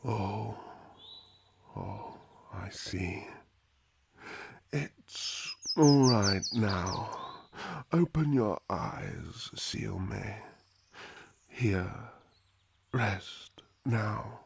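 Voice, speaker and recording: A man playing an older elf High King in a fantasy ASMR roleplay, calm, formal and soothing, often dropping to a whisper. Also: drawn-out words, vocal fry, long pauses, husky, full character voice. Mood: gentle, apologetic. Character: regal elf High King, calm, kindly, protective father.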